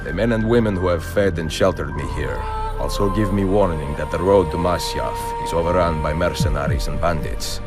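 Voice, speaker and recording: Man acting as grave older assassin reading his letter, super deep voice, reflective, loud score behind. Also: normal speed, hardly any pauses, over loud music, lightly acted. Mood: solemn, wary. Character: weary, thoughtful veteran assassin narrating a letter to sister. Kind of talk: solemn video game narration.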